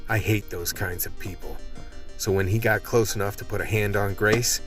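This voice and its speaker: Radio play lead: male actor as blunt, world-weary cop narrator, lowish pitch, gritty storytelling over loud music. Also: steady tone, moderate pace, lightly acted. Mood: grim. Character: hard-boiled male cop recounting a grim ordeal, weary and blunt.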